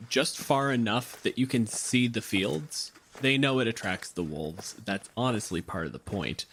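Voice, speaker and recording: A man's voice: mid-range voice, lively intonation, a little slow, fairly bright tone, slight background noise. A man working as game master, narrating a tabletop game in a casual, improvised way.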